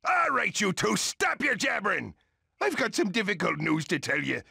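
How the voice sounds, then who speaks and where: Gravelly, animated old crab boss. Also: male voice, high voice, normal speed, no echo, studio quality, heavily acted. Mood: gruff, glum. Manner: hearty, bossy sailor-like talk. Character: blustery, money-minded older male crab restaurant boss. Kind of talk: cartoon video game acting.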